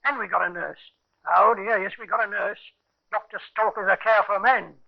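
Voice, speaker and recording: An actor playing a sly, brash working-class husband with a gravelly, wheedling, blustering manner in a 1950s radio crime drama. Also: male voice, high-pitched, fairly even intonation, brisk. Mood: oily, wheedling. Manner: wheedling, coarse-spoken, blustering. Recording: dull, lo-fi 1950s radio recording.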